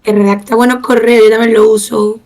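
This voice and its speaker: A woman guest explaining her view in a casual panel discussion, in a measured way. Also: a little high, little pitch movement, a little mellow.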